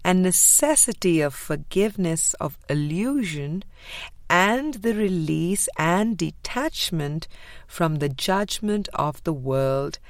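A woman narrating a spiritual audiobook in a measured, deliberate way with frequent pauses. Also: on the low side, animated, dry recording. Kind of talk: scripted spiritual book reading.

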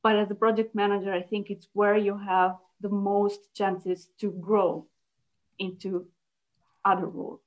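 A young woman answers interview questions in a thoughtful, halting way. She often restarts her sentences. Her voice sits at a middle pitch and comes through slightly muffled, lo-fi call audio. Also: flat delivery. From Italy. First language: Italian. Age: young adult. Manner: thoughtful, halting, with frequent restarts and light laughs. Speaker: guest, localization project manager.

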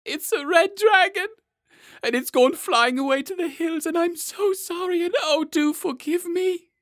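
Guy doing a little kid king, high voice, naughty n sorry, storybook style. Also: unhurried, a bit bright, dry recording, clean studio sound. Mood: tearful pleading.

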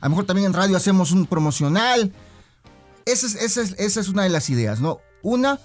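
A man's voice: high-pitched, lively intonation, fast, soft background music. A man with a Mexican accent, speaking conversationally and at length as a director being interviewed.

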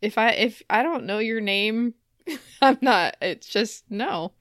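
A woman co-hosting a comedy podcast with casual, self-mocking banter and satirical news jokes, giggly and quick to laugh. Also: on the high side, lively intonation, a bit bright, dry recording, clean studio sound. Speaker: podcast co-host.

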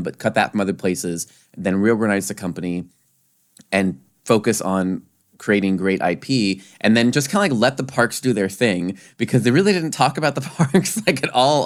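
A man co-hosting a casual discussion podcast, rambling with many restarts and fillers. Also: lowish voice. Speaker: podcast co-host.

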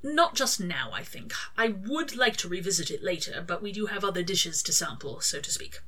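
A woman voicing a gentle, earnest male angel in a story, with polite, old-fashioned, hesitant phrasing. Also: fairly low pitch, very animated, moderate pace, heavily acted. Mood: gently flustered.

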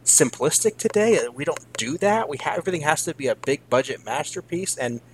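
A man in his thirties hosting a casual interview, speaking haltingly as he searches for words. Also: on the high side, animated, background noise. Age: 30s. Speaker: interview host.

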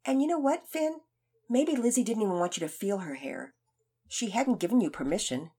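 A woman voicing a calm, serious male Sunday school teacher who speaks evenly and patiently. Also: on the high side, husky, pitched above the performer's own voice. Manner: calm, measured.

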